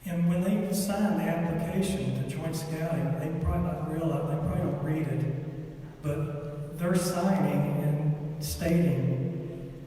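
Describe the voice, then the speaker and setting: A man's voice: fairly high pitch, unhurried, warm tone, slight background noise. A man giving a scouting ministry slide presentation to a church men's group, talking in a loose way with many fillers, saying he is losing his voice. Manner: rambling, filler-heavy presenting. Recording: echoey hall, mic far off.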